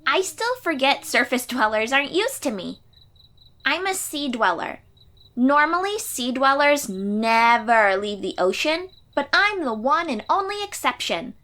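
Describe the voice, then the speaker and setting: Fantasy audio story role: plucky young girl warrior from the sea played by a woman, bright and lively, unhurried, perky. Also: sits high, heavily acted. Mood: cheerful, eager. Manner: perky, informal, expressive. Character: confident thirteen-year-old sea-dweller girl warrior, cheery and chatty. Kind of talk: fantasy audio roleplay addressed to the listener.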